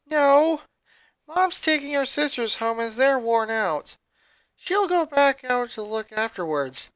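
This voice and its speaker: Narrator voicing a firm, bright eldest teenage sister. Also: sits high, expressive, takes it slowly, smooth, no echo, pitched above the performer's own voice, full character voice. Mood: weary. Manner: firm, earnest, emphatic.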